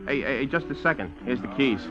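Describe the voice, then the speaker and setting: An actor playing a nervous, shifty small-time informer with an American accent, stammering and pleading in vintage radio crime style. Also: male voice, mid-range voice, animated, fast talker, natural voice. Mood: uneasy eagerness. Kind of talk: 1940s-1950s radio crime acting. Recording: dull vintage radio recording, music behind.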